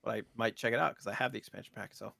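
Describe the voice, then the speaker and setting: A man's voice: lowish voice, steady tone, very fast, vocal fry, sharp tone, dry recording, clean studio sound. A man co-hosting a podcast in casual chat, joking and playing along. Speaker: podcast co-host.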